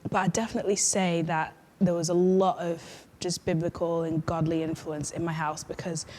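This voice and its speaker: A woman's voice: fairly low pitch, flat delivery, moderate pace, a little mellow. A young woman guest in a casual interview, telling her story in a chatty, reflective way with many fillers. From grew up in Norway, then the UK. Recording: long reverb, faint background noise.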